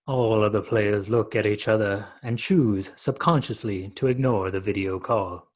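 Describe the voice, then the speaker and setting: A man's voice: lowish voice, steady tone, moderate pace. A man in his thirties narrating the scripted stage directions of a radio play over a video call.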